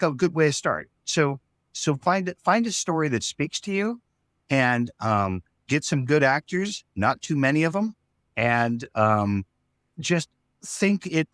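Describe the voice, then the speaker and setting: A man giving advice in a casual interview, thoughtful and halting as he talks. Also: medium pitch, fairly bright tone, no room sound. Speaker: audio drama writer being interviewed.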